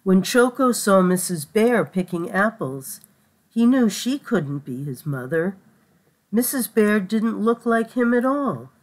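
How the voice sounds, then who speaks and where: A woman's voice: mid-range voice, sing-song, slow. A woman reading a children's picture book aloud as a storyteller. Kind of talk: slow scripted picture-book narration.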